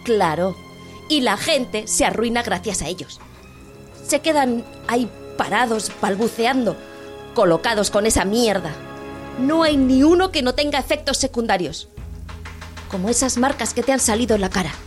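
An actress playing a weary, sharp-tongued working-class wife, reproachful and sarcastic, in an audio drama. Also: female voice, a little high, expressive, moderate pace, soft background music, lightly acted. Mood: scolding, worried.

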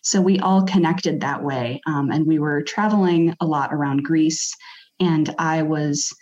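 A woman's voice: deep voice, measured pace, a little mellow. A young woman speaking as a podcast guest, explaining casually with hesitant phrasing. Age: young adult. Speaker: podcast guest, PhD student. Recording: dull lo-fi sound.

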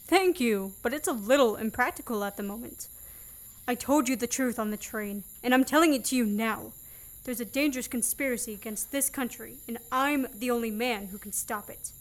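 A woman's voice: a little high, normal speed, lightly acted. A young woman playing a sardonic, commanding man on the run in a student radio play. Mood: earnest. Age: young adult. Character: fugitive man, sardonic, bossy, wrongly accused.

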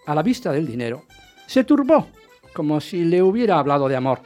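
Jealous, dramatically animated husband. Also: male voice, fairly high pitch, fairly quick, soft background music. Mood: brooding narration. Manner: dramatic, insistent, swinging from narration to heated questioning. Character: jealous, agitated husband recounting his hunt for a rumour. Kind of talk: radio theatre narration and dialogue.

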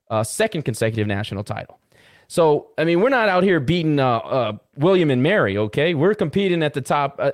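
A man's voice: fairly high pitch, dry recording, studio quality. A man hosting a podcast in an informal, enthusiastic, conversational interviewing style. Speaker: podcast host.

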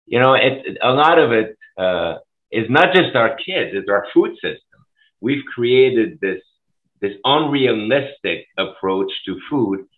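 A middle-aged man from Switzerland, a school food services director, speaking passionately and emphatically in an interview over a video call. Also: medium pitch. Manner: passionate, emphatic, rapid bursts. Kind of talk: conversational interview. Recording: tinny phone-like line.

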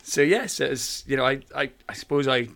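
A Scottish man in his thirties speaks in an easygoing, middle-pitched voice. He chats warmly as a guest, with frequent fillers and small restarts. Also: moderate pace, creaky. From Scotland. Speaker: primary school teacher. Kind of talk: conversational interview.

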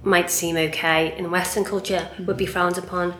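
A woman's voice: fairly low pitch, flat delivery, moderate pace, fairly bright tone. A woman whose first language is Cantonese, chatting casually in an interview and peppering her talk with "like" and "do you know". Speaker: restaurant staff guest. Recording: echoey room.

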